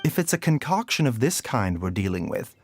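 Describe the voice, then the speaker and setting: Casting: composed, teasing physician, gentle and formal with expressive melody, video game, clearly audible background music. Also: male voice, on the low side, fairly quick. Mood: calm, analytical. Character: soft-spoken, sly, scholarly male healer, courteous and composed. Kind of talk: video game character acting.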